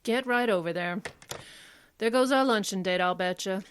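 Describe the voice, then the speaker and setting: A woman's voice: mid-range voice, normal speed, fairly bright tone, clean tone, lightly acted. A woman playing a composed, kindly, good-humored lawyer in an old-time style radio play.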